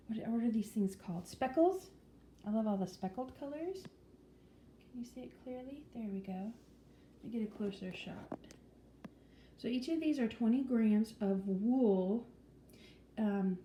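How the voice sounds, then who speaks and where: Soft-spoken woman chatting in an echoey room. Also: a little high. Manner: chatty, rambling, frequent ums and restarts; drops to a whisper at times. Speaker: vlogger. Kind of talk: casual vlog chat. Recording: echoey room with faint noise.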